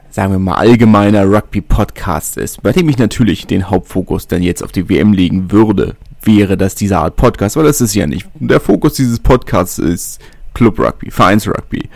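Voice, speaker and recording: A man hosts his own podcast alone, talking in a loose, conversational way and often pausing with fillers. His voice sits a little low. The sound is dry and close, with no room around it. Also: a little mellow, no echo. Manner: casual, thinking aloud with many fillers. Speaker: podcast host. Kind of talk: solo podcast monologue.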